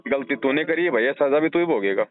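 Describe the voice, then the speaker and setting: A man's voice: mid-range voice, animated, quick pace. A man giving earnest, explanatory religious advice to a devotee, using everyday analogies. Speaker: religious counsellor advising a devotee. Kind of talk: devotional advice on a phone call. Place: phone call. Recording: narrow, tinny phone-line recording.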